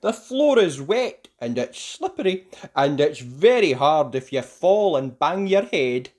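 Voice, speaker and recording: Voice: mid-range voice, very animated, a little slow, darkish tone. Male swimming coach for a children's story, played by the narrator: kind, firm, emphatic, instructive. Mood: firm warning.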